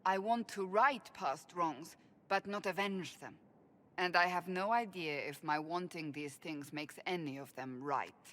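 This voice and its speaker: An actress playing a devout, principled woman in a video game, speaking in a solemn, deliberate, thoughtful way. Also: fairly low pitch, fairly bright tone. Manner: deliberate, reflective. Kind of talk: video game dramatic dialogue. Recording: long hall reverb, faint noise.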